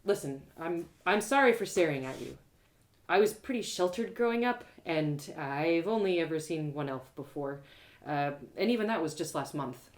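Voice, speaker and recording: Woman as an eager, awkward adventurer girl, very expressive. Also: low voice, normal speed. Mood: awkward, apologetic. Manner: hesitant, apologetic, rambling.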